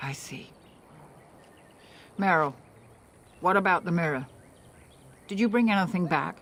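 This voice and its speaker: An actress playing a composed, grave elven clan leader in a fantasy video game, speaking in a measured, formal, authoritative way. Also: female voice, lowish voice, animated, a bit bright, heavily acted. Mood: solemn.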